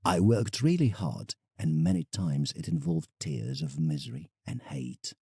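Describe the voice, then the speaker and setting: An actor playing an ambitious, self-mocking painter, narrating an audio drama in a dramatic, confessional way. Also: male voice, extremely low pitch, sing-song, measured pace, vocal fry, mellow, slightly rough, no room sound, full character voice. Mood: rueful, confessional. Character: proud, ambitious, self-mocking British landscape painter writing letters.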